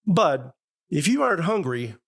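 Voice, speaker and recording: A man's voice: fairly high pitch, very animated, a little slow, creaky, husky, heavily acted. An actor playing a good-natured but easily exasperated middle-aged American father in a 1950s radio sitcom, with an avuncular, take-charge way of talking. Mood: exasperated, probing.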